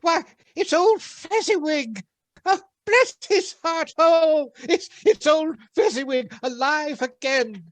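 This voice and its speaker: A woman's voice: sits high, takes it slowly, no room sound. A woman acting a wistful elderly man moved by his memories in a radio play, exclaiming and murmuring sentimentally. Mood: moved, nostalgic.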